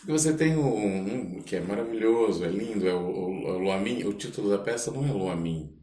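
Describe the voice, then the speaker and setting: A man's voice: on the low side, lively intonation, fairly quick, warm tone. Brazilian male podcast host, echoey room. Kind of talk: casual interview chat.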